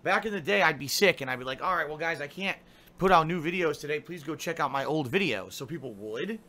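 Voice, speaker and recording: A man's voice: on the high side, animated, fast talker, sharp tone. A middle-aged man streaming games live, rambling and complaining in a casual monologue full of fillers. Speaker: game streamer.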